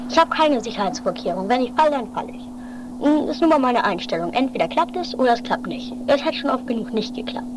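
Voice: medium pitch, expressive, brisk, close to an everyday voice. A performer playing a stubborn, fatalistic male daredevil in an improvised amateur comedy radio play, casual and resigned. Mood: resolute, resigned. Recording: noisy 1980s amateur recording.

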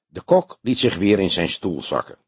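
A man narrating a detective novel as an audiobook in an expressive, scripted reading style. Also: low-pitched, normal speed, breathy edge, dry recording.

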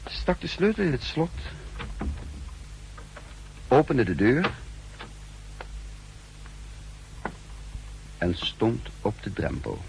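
A man playing a secret agent who recounts his mission in formal, measured words in a 1960s radio play. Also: medium pitch, wildly swooping pitch, gravelly, darkish tone, heavily acted, low-quality recording. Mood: uneasy, suspenseful. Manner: formal, measured, literary diction. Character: uneasy male secret agent impersonating his double, recounting events. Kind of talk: 1960s radio play first-person narration. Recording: hissy, humming 1960s radio recording.

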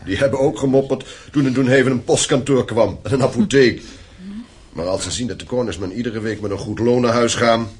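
A man's voice: mid-range voice, fairly quick, darkish tone, breathy edge, natural voice. An actor playing a stern, domineering landowning father in a radio play, commanding and formal. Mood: angry, commanding.

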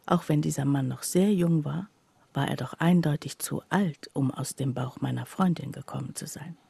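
An actress playing a skeptical woman confiding about her friend in a radio play, her voice husky and breathy and her delivery hushed. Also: sits low, moderate pace, dry recording, full character voice. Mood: doubtful, grave. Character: skeptical female friend recounting her friend's odd behaviour. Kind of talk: literary radio play narration.

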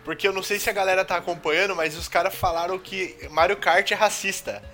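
Jokey Brazilian man with a bright voice. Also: high voice, fairly even intonation, quick pace, faint music behind. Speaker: podcast co-host. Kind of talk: casual, slangy banter.

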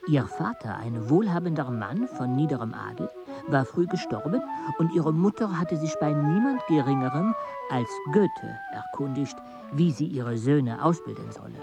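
A man narrating a radio play in a measured, even storytelling manner. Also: a little high, vocal fry, loud music behind the voice. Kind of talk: scripted narration.

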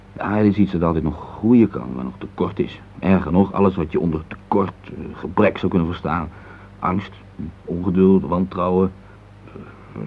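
A man's voice: low-pitched, slightly rough. An actor playing a reflective, tender middle-aged husband in a radio play, hesitant and searching for words as he speaks. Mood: earnest, pondering. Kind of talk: intimate radio play dialogue. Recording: muffled phone-like old radio recording, hissy.

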